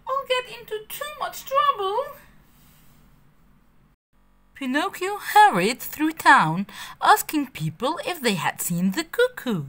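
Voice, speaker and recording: A woman reading a children's story aloud in an expressive, lilting way. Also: sits high.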